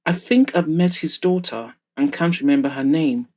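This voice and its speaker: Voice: mid-range voice, normal speed, warm tone, close to an everyday voice. A narrator voicing a restless, bored young bachelor in an audio story. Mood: dismissive. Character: restless, bored bachelor playboy, adult man. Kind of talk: audiobook-style character dialogue.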